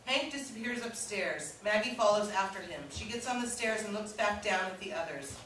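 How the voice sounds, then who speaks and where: A woman's voice: mid-range voice, moderate pace, a bit bright. Woman reading stage directions, very echoey. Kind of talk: scripted narration at a staged reading. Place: indoor staged reading, recorded from far off. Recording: echoey hall, distant mic, faint noise.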